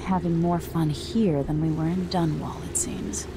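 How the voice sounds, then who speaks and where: An actress playing a determined young empress on a covert mission in a video game, speaking in a low-key, resolute way. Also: female voice, fairly even intonation, unhurried, noisy background. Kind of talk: video game cutscene dialogue.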